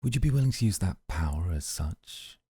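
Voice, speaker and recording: A man playing a stern, serious mafia boss in an ASMR roleplay, gravelly, measured and formal, with dry sardonic understatement. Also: low voice, sing-song, mellow, no echo, exaggerated voice. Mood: calculating.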